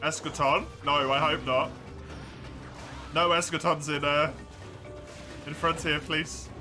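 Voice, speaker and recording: An English man chats with his stream viewers in an unhurried, drawn-out way, with a voice sitting a little high. He laughs often and pokes fun at himself. Clear music plays behind him. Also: fairly even intonation. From England. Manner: laughs often, self-mocking, swears casually. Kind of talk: casual live-stream chat with viewers.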